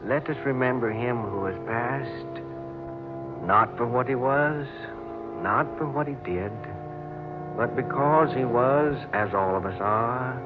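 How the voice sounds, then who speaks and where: Slow, solemn preacher played by a man. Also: medium pitch, lightly acted. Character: solemn clergyman giving a funeral eulogy. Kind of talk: old-time radio drama sermon. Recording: muffled old radio recording with loud music.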